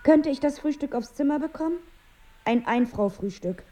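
An actress playing a prim, ironic wife on holiday in a radio play, with a husky voice and a dry, wry manner. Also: female voice, high voice, animated, moderate pace, mellow. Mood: polite, musing.

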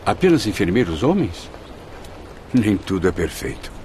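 A man's voice: sits low, very animated, moderate pace, a little mellow, slight background noise. An actor playing an anguished, weary man with wry humor in a Brazilian radio drama, speaking Portuguese dramatically with a Brazilian accent. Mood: wry, sardonic. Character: Camilo, anguished, exhausted male spirit with wry humor. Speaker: protagonist and narrator.

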